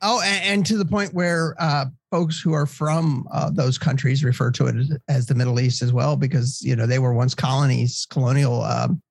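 A man's voice: medium pitch, steady tone, normal speed, creaky, hardly any pauses, husky, no room sound, studio quality. An American man with a congested nose hosts a casual co-hosted discussion in a jokey, rambling way. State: congested from allergies. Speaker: podcast host.

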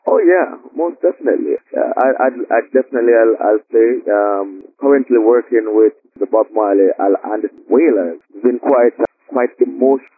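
Husky Jamaican man on a tinny line. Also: mid-range voice, fairly quick. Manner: warm, humble, rambling with many fillers. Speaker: guest drummer. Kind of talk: casual interview chat. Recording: tinny phone-line audio.

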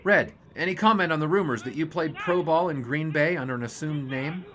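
An actor playing a wry 1920s newspaper sports reporter with a dry, wisecracking manner. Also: male voice, a little high, brisk, a bit bright, a little hiss or hum, close to an everyday voice. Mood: inquiring. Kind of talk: 1920s period audio drama acting.

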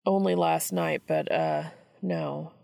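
A woman voicing a gruff, awkward, remorseful grown man in a story reading. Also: low-pitched, slow pace, dark tone, full character voice. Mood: awkward, apologetic.

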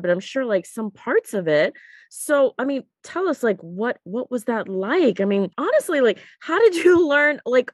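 A woman's voice: medium pitch, no echo, studio quality. A woman hosting a podcast, interviewing in a casual, chatty and informal way.

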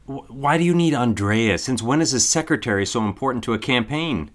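A man's voice: medium pitch, animated, a little fast, natural voice. An actor playing a breezy, confident young male ad man who sounds incredulous. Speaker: fiancé, an advertising man. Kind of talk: audio drama acting.

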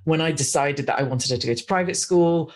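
A woman's voice: sits low, fairly quick. A woman hosting a casual podcast interview, earnest and effusive as she talks with her guest. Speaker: podcast host.

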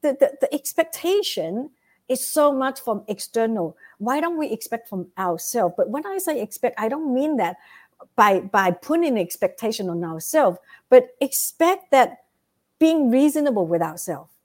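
Woman, Vietnamese accent, earnest, motivational, fairly high-pitched. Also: sing-song, moderate pace, darkish tone. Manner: earnest, keeps checking in with "right?". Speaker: guest. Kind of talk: motivational interview talk.

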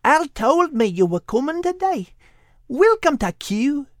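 Man voicing a high, polite Welsh lady corgi. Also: big pitch swings, a little slow, fairly bright tone, no room sound, studio quality, full character voice. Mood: friendly. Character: polite, playful female corgi dog, well-bred palace pet.

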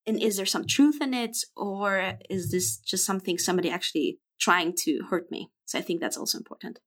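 A woman's voice: medium pitch, fairly quick. A woman in her twenties, a podcast guest talking frankly and reflectively in a casual interview. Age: 20s.

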